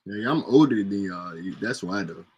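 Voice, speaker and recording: A man's voice: low voice, lively intonation, moderate pace, a little mellow, breathy edge, highs cut off. A man in casual chat with friends, laid-back, slangy and profane, often breaking into laughs. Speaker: host.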